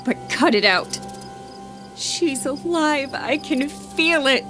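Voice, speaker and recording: Casting: woman to play a starving runaway, high voice, hard and stubborn, apocalyptic radio play. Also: animated, takes it slowly. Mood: stunned denial. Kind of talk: audio drama acting. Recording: live-streamed audio play with music bed.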